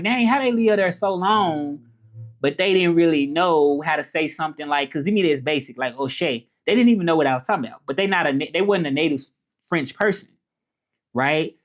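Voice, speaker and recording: A man with a Memphis Southern American accent, chatting informally as a livestream host in a loose, rambling way and repeating himself. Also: a little high. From Memphis, southern United States. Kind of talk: casual livestream chat about learning languages, reading comments.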